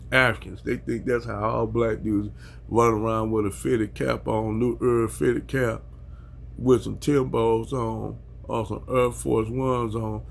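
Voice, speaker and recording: A man's voice: medium pitch, vocal fry, a little hiss or hum. An American man in a casual rant at home, talking bluntly and with heavy profanity, chuckling at his own points. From United States. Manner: blunt, heavily profane street talk, chuckles at himself. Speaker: vlogger.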